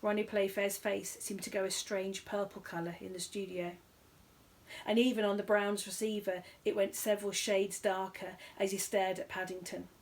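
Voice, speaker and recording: A woman narrates a children's story from a script. Her voice sits at a middling pitch with noticeable creak, and she reads expressively. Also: little pitch movement, normal speed. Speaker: story reader.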